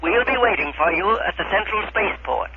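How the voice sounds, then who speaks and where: An actor playing a brisk, authoritative scientist giving orders in a 1930s radio serial. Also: male voice, high-pitched, animated. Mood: firm, urgent. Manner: crisp, authoritative. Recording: faintly noisy 1930s radio broadcast.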